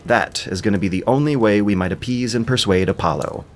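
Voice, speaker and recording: A man's voice: fairly low pitch, a little fast, slight background noise, lightly acted. A man voicing a wise, wary seer in an epic audio drama, speaking in solemn, anxious declamation. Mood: solemn, bolder. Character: wary, wise seer fearing a powerful king.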